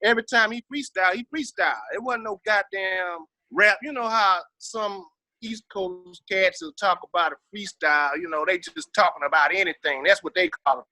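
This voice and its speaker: A man's voice: sits high, normal speed, pushed and bright, no echo. A man with a Texas Southern accent talking casually in an interview, emphatic and full of slang and swearing. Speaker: interview guest, hip-hop veteran.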